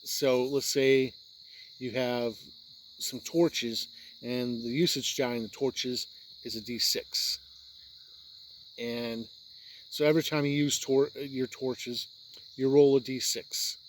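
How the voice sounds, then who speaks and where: A man's voice: medium pitch, steady tone, slow, pauses often, slight background noise. A man giving an unscripted explanatory review, talking plainly step by step and sometimes repeating himself. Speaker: tabletop game reviewer.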